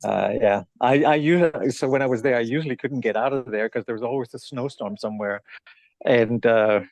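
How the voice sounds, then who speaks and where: A man's voice: mid-range voice, a little fast, darkish tone, no echo. A middle-aged man from Denmark, a native Danish speaker, chatting casually as a podcast guest in a rambling, self-interrupting way. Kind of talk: casual chat, travel anecdotes. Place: bar.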